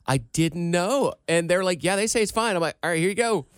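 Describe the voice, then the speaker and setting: A man's voice: high-pitched, a little fast, smooth, no room sound, clean studio sound. A man co-hosting a casual talk show, telling playful, self-mocking stories. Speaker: co-host. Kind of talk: casual radio-style chat.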